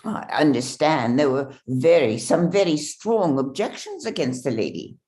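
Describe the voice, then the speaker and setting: A woman acts out a discreet gentleman confidant in a period drama reading. The voice is very low and moves in lively swings of pitch. The manner is formal and courteous. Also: moderate pace. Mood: earnest, discreet.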